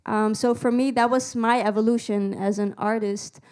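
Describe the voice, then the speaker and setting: A woman's voice: a little high, steady tone, normal speed, darkish tone, clear, resonant tone. A woman in her thirties from the Netherlands, a musician and activist telling her own story on a panel into a handheld mic. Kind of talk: panel talk, personal account. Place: small screening room with seated panel, indoors. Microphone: handheld microphone held close.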